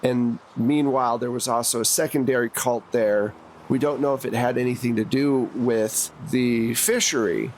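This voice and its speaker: A man's voice: medium pitch, fairly even intonation, moderate pace, a little mellow, slight background noise, low-quality recording. A man narrating a tabletop roleplaying game as game master, in a conversational, plain-spoken way. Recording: music behind the voice.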